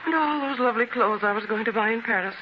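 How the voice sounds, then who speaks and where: An actress playing a shrewd, affectionate, teasing wife who gently chides her husband in a 1930s radio drama. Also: fairly high pitch, fast, close to an everyday voice. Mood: chiding. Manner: expressive, gently chiding. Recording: noisy, dull 1930s radio transcription.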